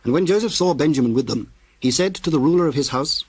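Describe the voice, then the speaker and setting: A man's voice: fairly high pitch, expressive, quick pace, a little mellow, slightly rough. A man narrating scripture from a script, as the narrator of a dramatized reading.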